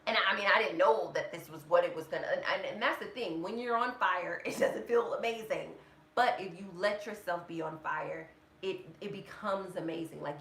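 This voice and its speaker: A woman's voice: fairly low pitch, fairly bright tone. A woman in her thirties giving a motivational vlog talk, emphatic, repeating words and leaning on "like" fillers. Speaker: vlogger. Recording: echoey room with faint noise.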